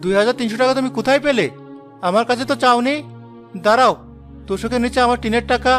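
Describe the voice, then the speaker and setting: A man's voice: extremely high pitch, quick pace, a bit bright, faint music behind. A man playing a hardworking, hot-tempered village husband in a cartoon drama, exclaiming and firing off questions. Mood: shocked, accusing. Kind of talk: Bengali cartoon moral drama voice acting.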